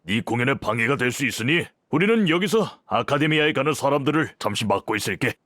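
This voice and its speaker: A man's voice: mid-range voice, expressive, quick pace, a bit bright, dry recording, clean studio sound. An actor playing a sensible, businesslike man in a video game, the straight man of the group. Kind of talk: video game dialogue acting.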